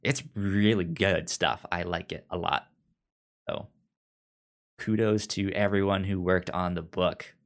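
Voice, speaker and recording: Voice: deep voice, unhurried. A podcast co-host casually discussing books in a hesitant, stammering way.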